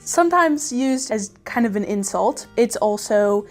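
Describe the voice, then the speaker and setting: A woman's voice: on the high side, animated, a little slow. Earnest woman from Minnesota. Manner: earnest, proud. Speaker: journalist. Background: faint music.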